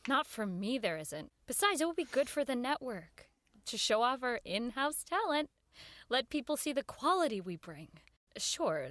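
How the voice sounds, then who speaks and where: A woman's voice: on the high side, fairly bright tone, no echo. An actress playing an earnest, nervous woman who works in network radio, in radio drama dialogue and narration. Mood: nervous, coaxing.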